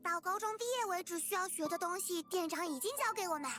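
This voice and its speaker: Casting: eager chatty little girl, visual novel game, very high voice over music. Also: lively intonation, fast talker, bright, forceful tone, heavily acted. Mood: bright, confident. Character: cheerful young girl shopkeeper's helper, eager and chatty.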